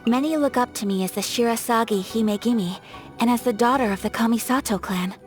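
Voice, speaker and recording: Game role, female actor: young noblewoman of a samurai clan, higher register, refined, soft-spoken, politely formal, over background music. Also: brisk, close to an everyday voice. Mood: solemn, earnest. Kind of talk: scripted video game character voice lines. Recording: music behind the voice.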